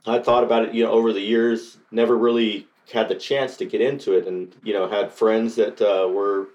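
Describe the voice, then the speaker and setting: Low-voiced middle-aged man, halting answers. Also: steady tone, a little mellow, highs cut off. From Costa Rica. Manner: hesitant, many fillers and restarts. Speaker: coding bootcamp graduate. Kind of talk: interview answers.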